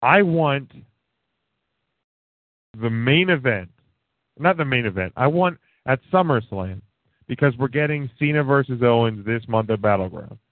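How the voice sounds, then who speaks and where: A man's voice: mid-range voice, expressive, dry recording. A man of thirty co-hosting a wrestling radio show, chatting casually, rambling and restarting his sentences. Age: 30s. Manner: rambling, restarts often, quick to joke. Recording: tinny phone-like sound, dry.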